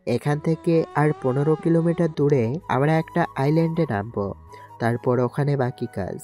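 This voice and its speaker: A man playing a composed, duty-bound navy commander in an audio drama, speaking in a measured, authoritative, reassuring way. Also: on the high side, warm tone, natural voice. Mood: commanding, serious. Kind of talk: Bengali audio story acting. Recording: music bed under the voice.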